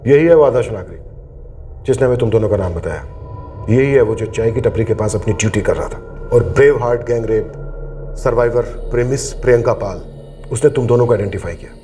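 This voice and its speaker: A male actor as a stern senior cop in a TV crime drama. His mid-pitched voice is mellow, his manner probing and sardonic, with some ambient noise behind him. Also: brisk, close to an everyday voice. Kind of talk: Hindi police procedural drama acting.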